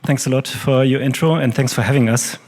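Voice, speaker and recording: A man's voice: mid-range voice, fairly quick, darkish tone. A German man with a German accent giving a stage talk, hesitant with many fillers. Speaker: conference speaker. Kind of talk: stage presentation.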